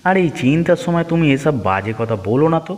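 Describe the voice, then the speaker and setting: A man's voice: a little high, expressive, fast talker, long reverb tail, close to an everyday voice. A man playing a fretful but firm middle-aged village uncle in a fairy-tale cartoon. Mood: gruff reassurance. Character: worried village uncle, gruff but reassuring husband.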